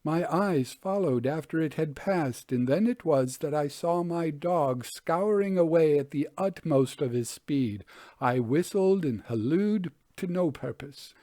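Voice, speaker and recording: Man playing an earnest Victorian gentleman, higher-voiced. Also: expressive, unhurried, dry recording, clean studio sound. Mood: solemn, uneasy. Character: earnest, unsettled Victorian gentleman recounting an eerie sighting.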